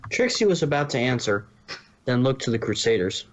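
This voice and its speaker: A man's voice: mid-range voice, very animated, brisk, a little hiss or hum. A man reading a story aloud as narrator, now and then stumbling and correcting himself. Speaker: narrator, fan fiction reader.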